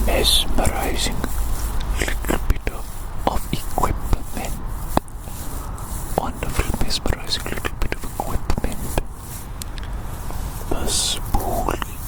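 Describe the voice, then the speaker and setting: A British ASMR host whispering a roleplay slowly and repetitively, doting on the listener, outdoors in a field. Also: poor audio.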